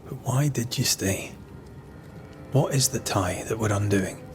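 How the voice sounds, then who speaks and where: A man as a low-voiced, remorseful ghost hunter. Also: unhurried, over music, close to an everyday voice. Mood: searching. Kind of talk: dramatic video game acting.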